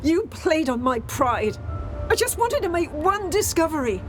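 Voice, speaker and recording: A woman's voice: high-pitched, sing-song, unhurried. An actress playing a proud, hurt woman time traveller in an audio drama, speaking in an accusing, emotional way. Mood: hurt, reproachful. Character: hurt, angry, proud female time traveller and would-be discoverer. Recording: drama clip with loud music behind.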